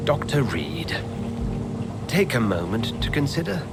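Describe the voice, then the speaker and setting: An actor playing a genteel, eager British hospital administrator and physician, urbane and theatrical, in video game dialogue. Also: male voice, medium pitch, big pitch swings, a little slow, creaky. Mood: persuasive. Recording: loud music and ambience behind.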